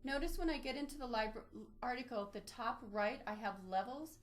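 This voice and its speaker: Woman guiding an informal software tutorial. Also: fairly high pitch, fairly quick. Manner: informal, explanatory, step-by-step. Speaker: librarian presenting a database tutorial. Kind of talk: instructional screen-share webinar walkthrough.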